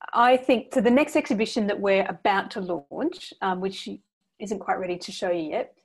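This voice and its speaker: A woman's voice: medium pitch, breathy edge. An Australian woman curator talking on a video call, rambling and searching for words. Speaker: exhibition curator. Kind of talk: casual video call chat. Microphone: laptop video call.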